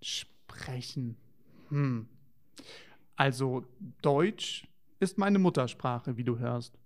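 A man's voice: mid-range voice, lively intonation, very slow. A man co-hosting a children's show, talking in a playful, wondering way to children in a scripted dialogue.

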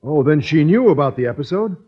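An actor playing an earnest, naive, mild-mannered teacher in an old-time radio comedy, boyish and literal-minded. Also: male voice, a little high, animated, fairly quick, breathy edge. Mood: earnest bewilderment. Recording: dull vintage radio recording.

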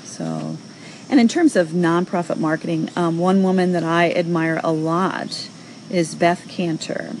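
A woman's voice: on the low side, steady tone, measured pace, darkish tone, slight background noise. A middle-aged woman hosting a casual audio show, answering callers in a chatty, encouraging way with plenty of fillers. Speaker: host.

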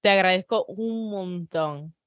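A woman with a Caribbean Spanish accent hosts an interview. Her voice sits fairly low and sounds close and dry. She listens attentively and asks her questions plainly. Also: slow pace, a bit bright, no echo. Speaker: podcast host.

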